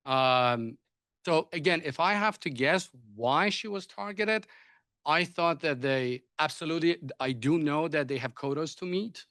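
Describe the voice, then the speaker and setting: Halting man moderating a panel. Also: fairly high pitch, animated, fairly bright tone, no echo. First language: Persian. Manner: hesitant, many restarts and fillers. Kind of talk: panel discussion.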